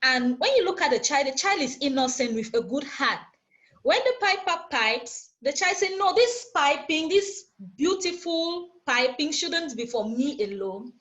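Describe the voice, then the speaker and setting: A woman's voice: high voice, lively intonation, a little slow, fairly bright tone. A woman hosting a group poetry discussion, explaining things step by step in repeated parallel phrases. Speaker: discussion host.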